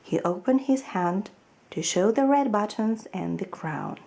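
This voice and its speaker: A woman reads a children's story in a soft, mellow voice. Her pace is slow and measured, and her intonation rises and falls expressively as she narrates. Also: mid-range voice, expressive, gravelly. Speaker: storybook reader.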